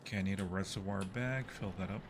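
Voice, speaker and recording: A man's voice: sits low, fast, vocal fry. Playful, jumpy man streaming over background noise. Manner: playful, jumpy, mutters to himself while playing. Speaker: live stream host. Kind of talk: casual live game streaming chat.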